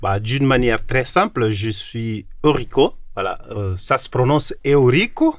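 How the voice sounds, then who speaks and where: A man's voice: medium pitch, big pitch swings, measured pace, no echo. A man from Cape Verde speaking as a guest lecturer in an informal studio interview, hesitant and searching for his words. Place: radio studio.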